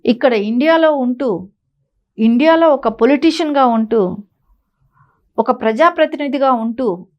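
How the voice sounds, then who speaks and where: A woman's voice: sits high, lively intonation, unhurried, long pauses, dull audio. A woman giving opinionated news commentary in an emphatic, insistent way, often using rhetorical questions. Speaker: political commentator on a news talk show.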